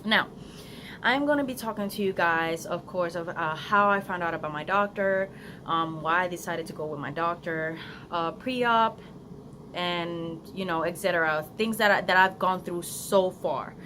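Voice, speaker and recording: A young woman with a Spanish accent talks straight to her phone outdoors, chatty and earnest, stumbling through ums and restarts as she gives advice. Her voice sits a little low, and steady outdoor noise is clearly heard behind her. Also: moderate pace. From Florida, United States. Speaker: vlogger. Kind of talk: casual unedited vlog.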